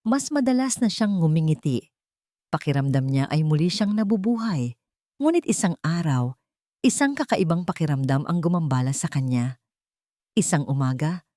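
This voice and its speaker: A woman with a Filipino accent narrating a romance drama in an emotive, expressive storytelling manner. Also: low voice, no echo, studio quality.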